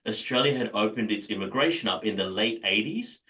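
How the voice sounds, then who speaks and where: An Indian-born man speaking as an interview guest, measured and thoughtful, with frequent fillers. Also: fairly low pitch, expressive, bright, forceful tone, far from the mic.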